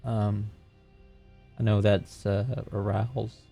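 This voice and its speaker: A man's voice: on the low side, flat delivery, extremely slow, creaky, over music. A man playing a tabletop roleplaying game, chatting casually and thinking aloud.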